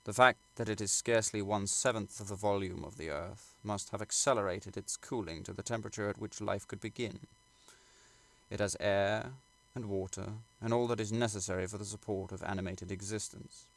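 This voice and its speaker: A man reading literary prose aloud as an audiobook narrator, in a measured, formal and dramatic way. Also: on the low side, fairly even intonation, gravelly. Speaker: audiobook reader.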